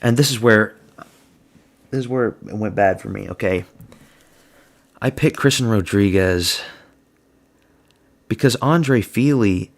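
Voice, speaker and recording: A man giving a blunt, crude, slang-heavy solo review of fight results. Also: fairly low pitch. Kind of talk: casual solo commentary.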